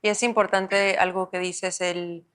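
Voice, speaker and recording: Woman interviewer with a smooth voice. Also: medium pitch, level tone, unhurried, fairly bright tone. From United States. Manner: conversational, asks follow-up questions. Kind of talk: interview questions and comments.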